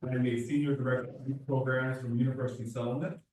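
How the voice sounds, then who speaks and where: A man, a youth program director giving earnest, quick testimony with restarts at a public meeting. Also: mid-range voice, level tone, dark tone, slight background noise. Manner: earnest, talks fast with restarts. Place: community board meeting room. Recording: echoey room, far from the mic.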